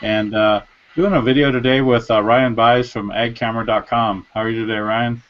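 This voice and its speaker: A man's voice: medium pitch, fairly even intonation, normal speed. A man in his forties hosts a live online interview from his home office, upbeat, and he often says "excellent". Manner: upbeat host, repeats "excellent". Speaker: host. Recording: dull lo-fi webcam audio.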